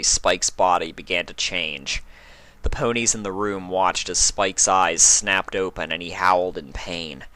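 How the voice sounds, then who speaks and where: Low-voiced man narrating a story. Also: sharp tone, no room sound. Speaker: fan fiction reader and narrator.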